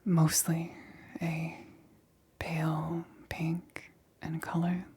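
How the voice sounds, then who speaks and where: A woman performs an ASMR roleplay as a careful scientist, in a very breathy whisper. Her delivery is slow and fairly flat, with a deliberate, clinical way of giving instructions. The sound is dry, with no room around the voice. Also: deep voice, no echo. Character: careful, excited female scientist examining an alien specimen.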